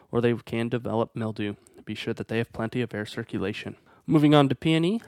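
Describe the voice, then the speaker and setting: A man with a stuffed-up voice from a cold hosts a nursery's weekly plant feature. He reads his notes in a steady, informative way at an ordinary middle pitch. The sound is dry, with no room echo. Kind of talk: reading plant guide notes.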